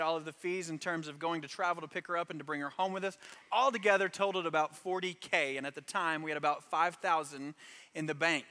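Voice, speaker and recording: A man's voice: fairly high pitch, bright, forceful tone. A man preaching a sermon as pastor, earnest and emphatic, repeating phrases for weight. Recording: long hall reverb.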